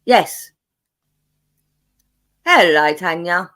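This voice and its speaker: Chatty English woman with a lively voice. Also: lowish voice, very slow. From England, born in London, raised in Buckinghamshire. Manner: rambling and chatty, full of fillers and restarts, laughs often. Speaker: live-stream host. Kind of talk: casual live-stream chat, reading viewer messages.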